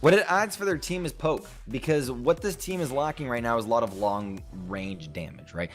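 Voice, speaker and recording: A young man casting an esports match as color commentator, explaining strategy in a lively, animated way. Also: mid-range voice, normal speed, faint music behind. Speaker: color caster.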